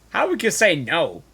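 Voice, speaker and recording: A woman's voice: on the low side, gravelly, fairly bright tone, a little hiss or hum. A woman giving casual, informal gameplay reaction commentary, often repeating her phrases. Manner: repetitive, informal. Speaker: gaming reaction video creator.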